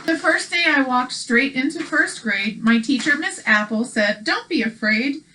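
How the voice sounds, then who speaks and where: A woman co-hosting a children's read-aloud, mixing expressive storytelling with casual chat. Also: sits high, a little slow, a little hiss or hum, poor audio.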